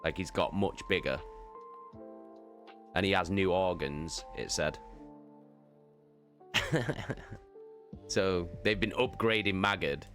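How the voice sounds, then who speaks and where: A man's voice: low-pitched, takes it slowly, long pauses, a bit bright, faint music behind. A man, chatting loosely through a book recap on a livestream, with fillers and the odd swear word. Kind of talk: casual book recap chat.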